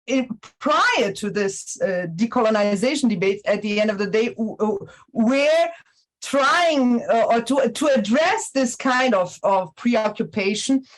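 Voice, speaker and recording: A woman with a Brazilian Portuguese accent delivers an academic lecture at a conference, her voice sitting a little high. She speaks haltingly, restarting phrases and filling pauses, with Portuguese tag questions slipping into her speech. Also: expressive, low-quality recording. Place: university lecture hall.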